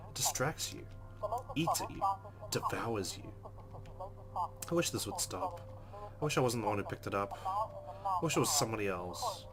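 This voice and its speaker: A man playing a visual novel, reading the narration aloud expressively with casual, wry asides over game music. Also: on the low side. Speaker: let's player. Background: game music with guitar.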